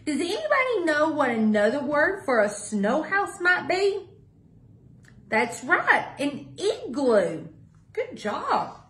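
A middle-aged woman reading a picture book aloud to young children in a deliberate sing-song way. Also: high-pitched, huge pitch swings, fairly bright tone. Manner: sing-song, deliberate, asks listeners questions. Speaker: library story time reader. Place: small indoor room with block walls. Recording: echoey small room.

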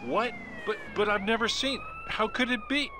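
Casting: pompous, indignant game official, very high animated voice, sirens behind. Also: male voice, normal speed, background noise, exaggerated voice. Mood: bewildered. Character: indignant, pompous male official under arrest. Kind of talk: video game cutscene acting.

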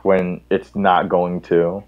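A young man chatting casually and telling stories in a halting, repetitive way. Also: low-pitched, level tone, lo-fi sound. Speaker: guest co-host on a gaming stream. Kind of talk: casual group chat, rambling storytelling.

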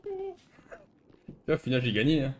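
Man, mid-pitched voice, casual role-play game chat, sarcastic, argumentative. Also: moderate pace.

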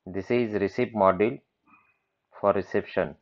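A man giving a plain, matter-of-fact walkthrough of software over a screen recording. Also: fairly low pitch, fairly even intonation, moderate pace, highs cut off. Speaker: software demonstrator.

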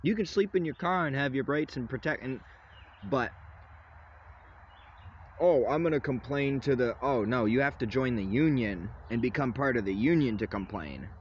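A young man ranting loudly and rambling into his phone from inside a parked vehicle. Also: medium pitch, animated, normal speed, dull audio, slight background noise. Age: young adult. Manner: loud, agitated, rambling rant. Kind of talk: ranting selfie vlog. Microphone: phone at arm's length.

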